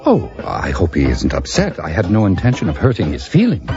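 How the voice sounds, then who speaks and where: An actor playing a naive, polite alien visitor in a comic audio drama, speaking formally, literally and haltingly. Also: male voice, sits low, wildly swooping pitch, full character voice. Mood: puzzled, polite. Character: naive, polite male alien robot-like visitor among humans. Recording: dull lo-fi sound, music behind.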